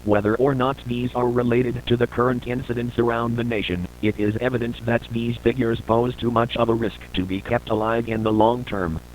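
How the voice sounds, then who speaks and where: A man with a mid-pitched voice narrates in the formal style of an investigative report for analog horror. His delivery is measured and scripted. Clear noise and ambience sit behind the voice throughout. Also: steady tone, poor audio.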